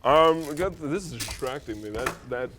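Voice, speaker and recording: A young man guesting on a college talk show, joking in a dry, lively way. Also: medium pitch, moderate pace, crowd noise. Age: young adult. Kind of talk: casual comedic interview. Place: college studio set.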